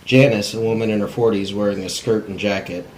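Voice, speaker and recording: Male narrator for a table read, low plain voice, scripted, faint noise behind. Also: steady tone, a little fast, a little mellow, poor audio. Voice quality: non-actor's plain reading. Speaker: narrator of stage directions.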